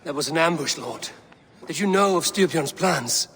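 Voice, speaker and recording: An actor playing a guarded, loyal Viking warrior in a game, restrained, terse and measured. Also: male voice, fairly high pitch, lively intonation, fairly bright tone, slight background noise. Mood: suspicious. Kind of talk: dramatic scripted game acting.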